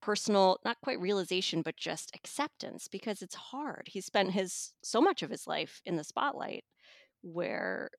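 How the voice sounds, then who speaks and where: A woman giving a conversational book review as a guest, thoughtful and pausing to find her words. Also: lowish voice, vocal fry, no echo, clean studio sound. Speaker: magazine editor reviewing an audiobook as guest.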